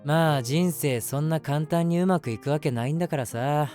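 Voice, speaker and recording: A man's voice: fairly high pitch, moderate pace, faint music behind, lightly acted. A man playing a lovestruck, self-deprecating young man who narrates his crush in a chatty, flustered way in a voice drama. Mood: smitten. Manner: flustered, animated, self-mocking, talking to himself. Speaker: voice actor. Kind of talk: first-person situation voice drama, chatty narration with acted reactions.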